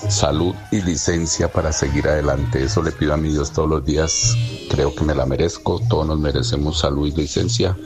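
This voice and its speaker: Very deep-voiced male radio caller. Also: fairly even intonation, a little fast. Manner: formal, repetitive greetings. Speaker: radio call-in listener. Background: music.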